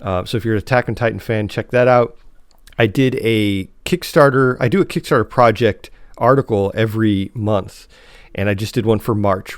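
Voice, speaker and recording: A man co-hosting a podcast in casual, slightly halting conversation. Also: lowish voice, dry recording, studio quality. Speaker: podcast host.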